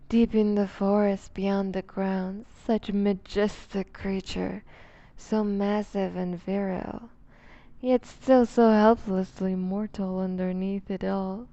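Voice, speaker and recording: A woman acts out a predatory vampire noblewoman for an ASMR roleplay. She speaks very slowly and with dark, mellow softness, a creaky edge under her possessive, condescending teasing. The audio is slightly muffled. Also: medium pitch, fairly even intonation. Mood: haughty, teasing. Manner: velvety teasing, condescending, unhurried, often dropping to whispers. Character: seductive, dominant vampire duchess, predatory and possessive. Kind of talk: ASMR roleplay, intimate scripted monologue.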